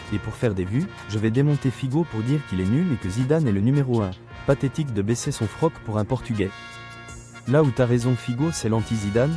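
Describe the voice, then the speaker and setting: A middle-aged man reading a combative, sarcastic rebuttal monologue, lecturing a younger critic. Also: mid-range voice. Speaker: video commentator.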